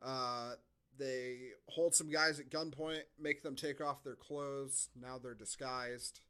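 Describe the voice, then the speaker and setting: A man's voice: medium pitch, fairly even intonation, takes it slowly, pushed and bright, clean tone. A man co-hosting a podcast, giving a casual, comedic movie recap in a sardonic manner. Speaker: podcast co-host.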